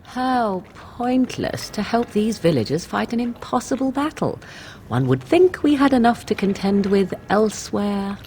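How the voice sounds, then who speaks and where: A woman's voice: medium pitch, big pitch swings, a little slow, mellow, slight background noise. Haughty, sardonic, swooping young witch. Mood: disdainful. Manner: sarcastic, haughty, disdainful. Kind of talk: fantasy video game acting.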